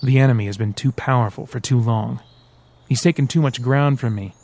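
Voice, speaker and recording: A man voicing a smooth, sarcastic male devil who teases and threatens by turns in an audiobook. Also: mid-range voice, a little fast, a little mellow, natural voice. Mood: persuasive. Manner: teasing, sarcastic, theatrical. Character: sly mocking male devil, smooth, menacing.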